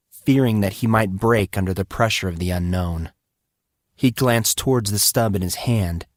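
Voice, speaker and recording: A man's voice: on the low side, no room sound, clean studio sound. A man reading scripted fantasy fiction as a dramatic audiobook narrator.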